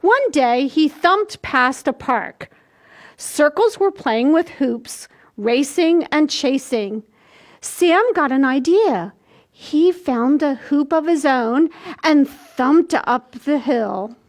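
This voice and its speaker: An older woman in her sixties hosting a children's storytime, reading aloud and explaining things simply and deliberately for small children. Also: high-pitched, expressive. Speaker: library storytime host. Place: small indoor room.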